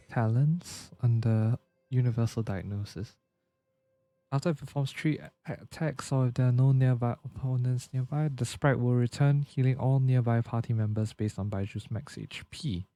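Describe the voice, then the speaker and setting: A man's voice: mid-range voice, mellow, no echo. A man giving casual gameplay commentary, stumbling a little as he reads game text aloud, with music behind him. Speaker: livestreamer.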